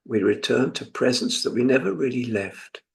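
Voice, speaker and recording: A British man in his seventies from England leading a guided meditation as a teacher, speaking in a reflective, gently instructive manner with pauses. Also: mid-range voice, animated, vocal fry. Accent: British English. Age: 70s.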